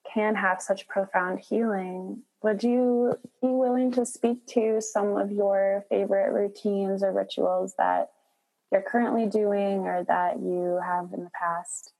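A woman interviewing a guest, calm and affirming, with soft hums. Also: mid-range voice, gravelly, low-quality recording. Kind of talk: gentle interview questions.

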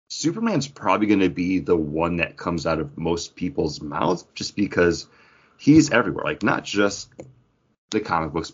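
A man with a low-pitched voice co-hosts a casual podcast discussion. He explains his thoughts conversationally, as in a group chat. The audio is slightly dull and band-limited. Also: breathy edge. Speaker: podcast co-host.

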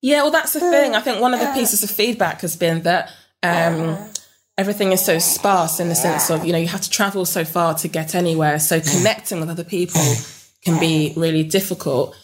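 A young woman with a London accent, a guest in a relaxed interview, talking with many ums and restarts. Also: fairly low pitch, a little fast. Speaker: interview guest, business network founder.

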